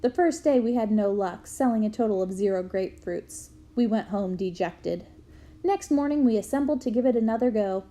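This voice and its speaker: A woman reading a memoir aloud to students in a clear storytelling manner. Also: medium pitch, moderate pace. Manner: clear storytelling, bursts into loud shouts for quoted yells.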